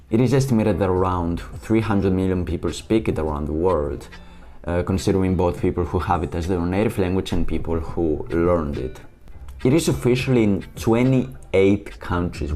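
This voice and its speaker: A man's voice: low voice, mellow. A young man from Portugal with a European Portuguese accent, a native Portuguese speaker, chatting upbeat in a solo vlog about language learning. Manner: chatty and upbeat, quick asides.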